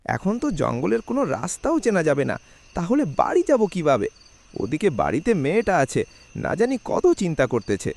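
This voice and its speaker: A man's voice: fairly high pitch, wildly swooping pitch, a little fast, vocal fry, a little mellow, full character voice. A man playing a devoted, weary middle-aged woodcutter father in a cartoon, fussing and muttering to himself expressively. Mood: anxious. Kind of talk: cartoon voice acting.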